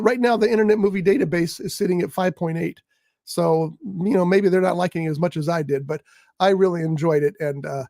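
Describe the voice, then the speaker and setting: A man hosting a casual showbiz talk show, rambling enthusiastically about a movie, his voice croaky today. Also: high voice, a little mellow, no room sound, clean studio sound. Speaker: show host. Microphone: studio mic on a live stream.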